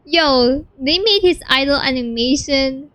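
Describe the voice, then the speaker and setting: A woman's voice: high voice, unhurried, fairly bright tone. A woman streaming a game with casual, slangy reaction commentary in an informal manner.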